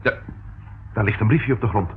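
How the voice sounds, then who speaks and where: An actor playing a middle-aged detective's sidekick, dry and grumbling, in a radio drama. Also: male voice, lowish voice, brisk, close to an everyday voice. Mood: uneasy. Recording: noisy, tinny old radio recording.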